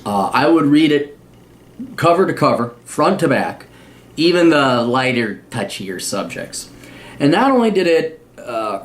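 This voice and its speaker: A man in his forties with a Midwest accent, talking bluntly and sarcastically in a rambling monologue to camera. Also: medium pitch. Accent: American Midwest. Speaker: vlogger.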